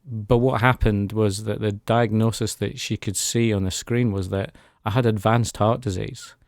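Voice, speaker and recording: A man's voice: lowish voice, steady tone, normal speed, dry recording, clean studio sound. A man, telling his personal story as a guest in a radio interview. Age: 40s. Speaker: interview guest giving a testimony. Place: radio studio.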